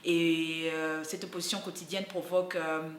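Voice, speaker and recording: A woman's voice: lowish voice, flat delivery, moderate pace, reverberant. A young woman in her twenties from the Congo, speaking, giving an earnest, hesitant personal testimony with many fillers and restarts. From Democratic Republic of the Congo. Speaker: university student and activist.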